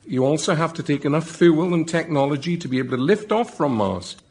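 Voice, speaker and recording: A man reading out a prepared comic pitch as a member of the public, deliberately and with pauses for effect. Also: lively intonation, darkish tone, breathy edge. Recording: live show, faint audience noise.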